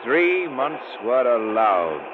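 A man's voice: medium pitch, very animated, slow. An actor playing a puzzled common Englishman on a late train, in a 1940s radio drama. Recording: tinny, noisy old radio recording.

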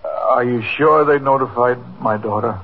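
A man's voice: mid-range voice, normal speed, lightly acted. An actor playing an anxious, proud old man in a 1950s radio drama, hesitant and stammering as he repeats himself. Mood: uneasy, subdued. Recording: tinny, noisy 1950s radio recording.

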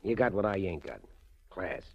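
An actor playing a tough, hot-headed racketeer in a 1940s radio drama, talking in blunt, streetwise slang. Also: male voice, low voice, expressive, normal speed, creaky, heavily acted. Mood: smitten, eager. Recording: muffled 1940s radio broadcast recording.

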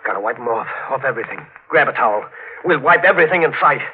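An actor playing a panicky young newlywed husband in an agitated, slangy 1940s radio mystery style. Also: male voice, mid-range voice, expressive, fast, close to an everyday voice. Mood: urgent. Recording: noisy, narrow-band old radio recording.

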